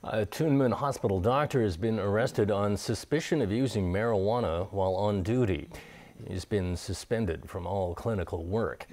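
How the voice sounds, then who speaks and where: A man's voice: fairly low pitch, moderate pace, darkish tone. A man reading scripted news as an anchor.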